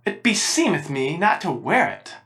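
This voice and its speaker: A storybook knight in armour, played by a woman, pitched down low. He speaks in a pompous, archaic, chivalrous way, with gallant gravity. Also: sing-song, normal speed, fairly bright tone, faint music behind. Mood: mournful, ashamed. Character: weary, gallant old-fashioned male knight in armour. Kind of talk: dramatic storybook reading.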